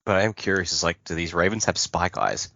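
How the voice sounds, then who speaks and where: A man's voice: deep voice, sing-song, fairly quick, creaky, sharp tone, no echo, muffled recording. A man co-hosting a podcast in casual chat, chipping in with informal, sometimes sweary asides. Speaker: podcast co-host.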